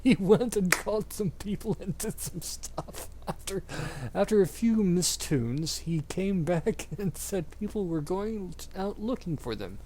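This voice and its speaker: A man performs a dramatic reading in a fairly high voice. His pitch moves widely and his pace is slow. The delivery is exaggerated and amateur, liable to stumble or crack up. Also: expressive, dark tone, a little hiss or hum. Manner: breaks into laughter and stumbles while reading. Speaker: amateur reader. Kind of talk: dramatic reading of fan fiction.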